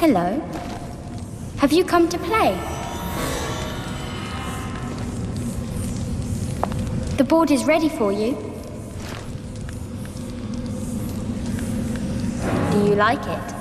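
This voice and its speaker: A woman's voice: high voice, expressive, normal speed, music in the background. A woman voicing a sly, playful child vampire in a video game, teasing and coaxing in an echoey hall with ambient noise. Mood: playful, inviting. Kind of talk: video game cutscene acting. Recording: echoey stone hall, noisy ambience behind.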